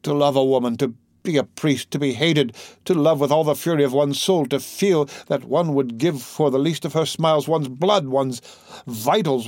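A man's voice: fairly high pitch, dry recording, clean studio sound, voice pushed up, close to an everyday voice. A man voicing a tormented, pleading priest. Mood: anguished. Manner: impassioned, pleading theatrical declamation. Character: anguished male priest, desperate obsessive lover. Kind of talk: audiobook character reading.

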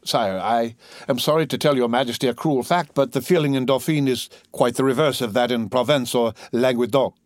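A man's voice: medium pitch, a little fast, no room sound, studio quality, natural voice. A man voicing an earnest, deferential magistrate addressing his king. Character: eager young male magistrate, deferential, earnest.

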